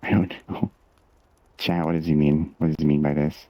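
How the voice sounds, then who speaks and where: A man co-hosting a livestream, chatting casually with dry, deadpan banter. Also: a bass voice, moderate pace, no echo, highs cut off. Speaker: co-host.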